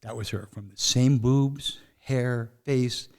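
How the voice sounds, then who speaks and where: Interview guest, man in his 50s, mid-pitched, rambly anecdote-teller. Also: steady tone, slow pace. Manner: rambling storyteller, often asks "you know what I mean?". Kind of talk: casual interview chat.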